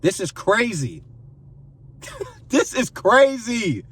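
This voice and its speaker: Man in his 20s, husky voice with a wide swing in pitch, earnest repetitive advice-giver. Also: big pitch swings, very slow, a bit bright, far from the mic. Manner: pleading and repetitive, repeats phrases for emphasis. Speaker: vlogger giving life advice. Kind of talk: earnest direct-to-camera advice.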